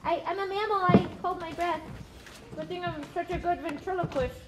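A woman's voice: extremely high pitch, fairly even intonation, normal speed. A woman voicing a naive, curious young female sperm whale in a live puppet show, full of hesitant exclamations. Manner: wide-eyed, hesitant exclamations. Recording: live theatre recording, faint noise.

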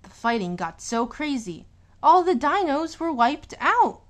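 A woman reads a picture book aloud in a fairly high voice. Her delivery is slow and deliberate, with big swings in pitch. She stresses words expressively, as a storyteller does for young children. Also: big pitch swings.

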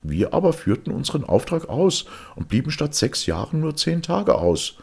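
A man playing a plain-spoken, put-upon fairy-tale huntsman, earnest, with a louder, raised, husky voice. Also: on the high side, sing-song, moderate pace, pitched above the performer's own voice, full character voice. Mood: bold.

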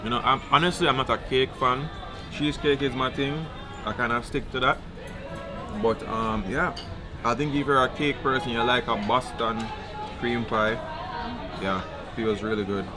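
A man's voice: mid-range voice, moderate pace, over music. A young man, vlogging casually from a cruise ship dining room while he tries the food. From Jamaica. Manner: relaxed, chatty, food-tasting commentary. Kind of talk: casual travel vlog. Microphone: camera held at arm's length.

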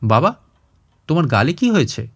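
A man's voice: fairly high pitch, sing-song, normal speed, husky, exaggerated voice. A man voicing a grave, concerned adult daughter in a story, questioning and scolding insistently. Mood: anxious questioning.

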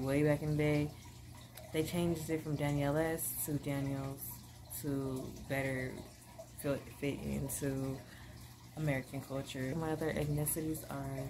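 A woman's voice: low voice, noisy background. A young woman vlogger chatting casually to camera from her living room, bubbly and rambling with lots of fillers. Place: small living room at home.

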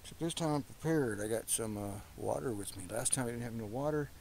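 A middle-aged man talking casually to his camera outdoors on a hike, in an easygoing, halting way. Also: mid-range voice, vocal fry, a little mellow. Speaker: hiker filming a vlog. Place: open rocky desert, outdoors. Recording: outdoor camera mic with faint noise.

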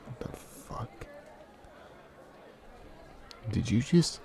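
A man's voice: over music, a crowd in the background. A man acting a shy, once-bullied young man standing up for himself in a hesitant, murmured ASMR boyfriend roleplay. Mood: confused, surprised. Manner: hesitant, murmured, with pauses and hums.

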